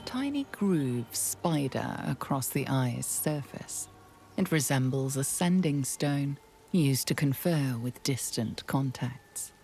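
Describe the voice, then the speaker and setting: A woman playing an ominous storyteller, giving measured, hushed, portentous narration for a fantasy video game. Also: rumbling low, animated, vocal fry, faint music behind, heavily acted.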